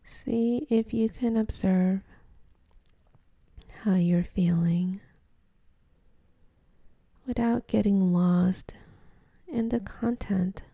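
A woman leading a guided meditation, speaking softly and breathily with gentle, unhurried instructions and pauses. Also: medium pitch, no echo.

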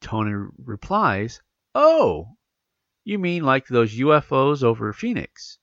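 A congested man hosts a casual podcast, rambling through his points with lots of fillers and restarts. His voice is gravelly and his pitch swings a lot. The recording is dry and a little dull. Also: sing-song, slow pace, a bit bright, no room sound. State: congested from allergies. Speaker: podcast host. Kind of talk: casual podcast chat, reading news aloud.

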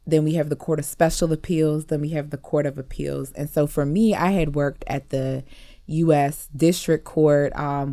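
Deep-voiced young woman, dry sound. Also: mellow, dry recording. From Cameroon, grew up partly in Maryland. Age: young adult. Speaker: interview guest, law clerk. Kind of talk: conversational interview answers.

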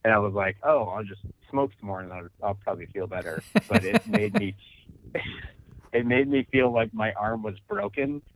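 A man with a lowish voice chats in a relaxed, storytelling way, often quoting what he was thinking. He comes through a thin, phone-like line, and the sound is dry. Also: no echo. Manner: laid-back storytelling, quotes his own thoughts. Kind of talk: casual chat.

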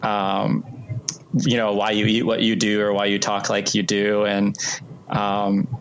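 A man's voice: fairly low pitch, completely flat delivery, unhurried, a little hiss or hum. A man born in Mexico hosting a casual conversation, reflective and thoughtful as he shares his experience.

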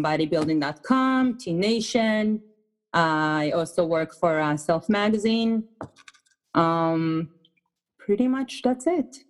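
Woman from Israel, fitness coach guest; lowish voice, casual and chatty. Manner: loose and chatty, many fillers. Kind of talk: casual interview conversation.

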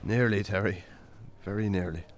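Irish farmer, low voice, dry, understated, troubled, radio drama, faint background noise. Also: male voice, normal speed. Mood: weary, sheepish. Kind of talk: naturalistic radio drama acting.

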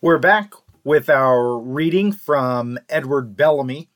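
A man's voice: mid-range voice, slow pace, loud music behind the voice. A man hosting a show, giving a scripted introduction and reading out quoted passages. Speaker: host.

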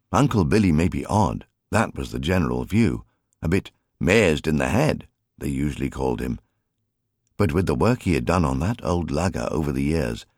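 A man narrates a story in a very deep voice. His intonation rises and falls in a lively way, with measured, expressive phrasing. The recording is dry and close. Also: slightly rough, no room sound. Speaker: audiobook narrator.

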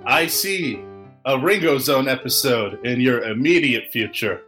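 A man voicing a formal, ominous fortune-telling arcade robot in a podcast skit, slow and stilted. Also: mid-range voice, a bit bright, faint music behind, lightly acted.

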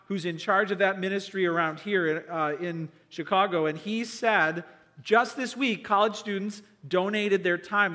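A man's voice: high-pitched, bright, forceful tone. A male pastor giving church announcements, upbeat and enthusiastic, with many "um"s and "uh"s.

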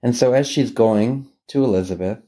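A man speaking as a priest leading a guided scripture meditation, gentle and unhurried, with long pauses. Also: medium pitch.

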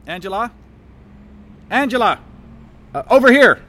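An actor in a satirical audio drama playing a fast-talking, evasive middle-aged TV writer who stammers and repeats himself as he cajoles. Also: very high-pitched, lively intonation, sharp tone, full character voice. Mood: hurried, glad-handing.

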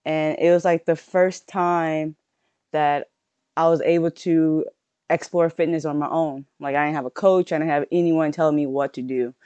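A young woman from Louisiana with a Southern Louisiana accent, answering interview questions in a relaxed, casual way and often restarting her phrases. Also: sits low, normal speed, smooth, no echo, dull audio. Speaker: guest, powerlifter.

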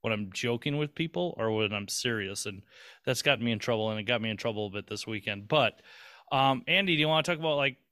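A man's voice: mid-range voice, fast, sharp tone, clear, resonant tone, no room sound, studio quality. A man co-hosting a podcast in casual chat, rambling and swearing casually with lots of restarts. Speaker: podcast co-host.

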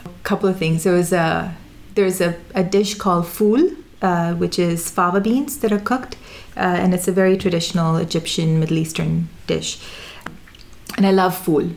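Woman, low voice, gravelly fry, relaxed conversational storytelling, frequent fillers and restarts. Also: moderate pace. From Sudan, raised in Dubai. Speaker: interview guest.

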